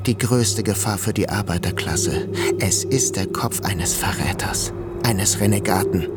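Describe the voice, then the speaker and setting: An actor playing a tense, fanatical assassin in a radio drama, speaking an intense, brooding inner monologue. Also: male voice, low-pitched, measured pace. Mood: cold hatred. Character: tense, fanatical male assassin, thinking to himself. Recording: loud music behind.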